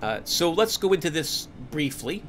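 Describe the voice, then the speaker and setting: A man hosting a gaming channel, talking casually and candidly to viewers over gameplay, stuffy with a cold, rambling with restarts and soft laughs. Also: a little high, very animated, normal speed, slight background noise. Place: at home in front of his computer.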